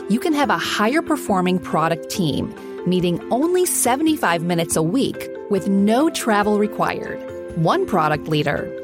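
Lively woman reading an ad over music. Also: mid-range voice. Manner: smooth, persuasive promo delivery. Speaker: ad announcer. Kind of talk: scripted ad read.

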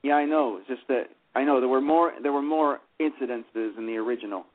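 A man's voice: mid-range voice, fairly quick. Rambling man on a phone line. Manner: rambling, many restarts and fillers. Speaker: playwright discussing his adaptation. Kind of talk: casual radio discussion. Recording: phone-line radio call.

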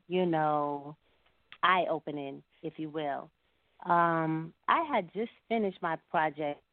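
Low-voiced woman on a phone line. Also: no room sound. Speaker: singer, interview guest. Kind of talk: conversational interview answers. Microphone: phone call. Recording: narrow-band, phone-like line.

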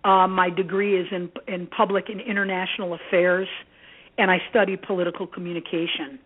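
A woman's voice: lowish voice, level tone, a little fast, husky. A tinny, phone-like line carries the voice of a middle-aged American woman. She is a professor being interviewed, and she talks conversationally, with an earnest and reflective manner. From United States (Indiana), born in Greece. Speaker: university professor, interview guest.

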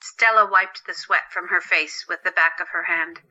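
Female storyteller, very bright crisp-edged tone, lowish pitch, scripted narration. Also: fairly even intonation, normal speed, dull audio.

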